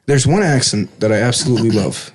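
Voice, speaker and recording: A man guesting on a podcast, telling stories with a joking manner and a slightly husky voice. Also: mid-range voice, lively intonation, fast. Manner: jokey storyteller who slips into put-on accents. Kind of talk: casual podcast chat. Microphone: studio podcast headphones and mic.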